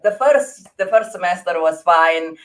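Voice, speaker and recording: Indonesian-accented woman, earnest and halting. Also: fairly low pitch, expressive, rough recording. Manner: earnest, laughs often, halting restarts. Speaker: interview guest, grief coach. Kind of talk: conversational interview.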